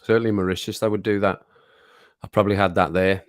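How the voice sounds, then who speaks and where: A man hosting a casual livestream, his voice a bit croaky from singing, chatting to viewers at home and laughing often. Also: sits low, little pitch movement, fast. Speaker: livestream host reading chat. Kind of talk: casual chat to a live audience. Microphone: home streaming mic with compressor.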